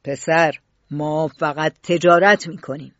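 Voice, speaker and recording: A woman voicing a harsh, gruff grown man who runs a factory, speaking bluntly and loudly. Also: low voice, fairly even intonation, dry recording, pitched below the performer's own voice. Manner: blunt, scolding, loud. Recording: dull lo-fi dry recording.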